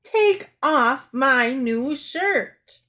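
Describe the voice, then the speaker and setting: A woman's voice: mid-range voice, lively intonation, slow, a bit bright. A woman voicing a rude, childish, disagreeable father in a children's story, taunting and petulant.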